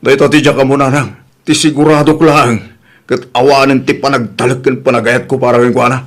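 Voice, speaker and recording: A man acting a wronged father in an Ilocano radio-style drama. His mid-pitched voice has an Ilocano accent and carries weariness, hurt and resolve. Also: little pitch movement, fairly quick, close to an everyday voice. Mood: bitter, resolute. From Philippines.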